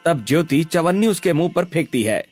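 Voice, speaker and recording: A man delivers scripted dramatic story narration. His pitch sits a little high and moves around a lot, with music playing behind him. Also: expressive, brisk.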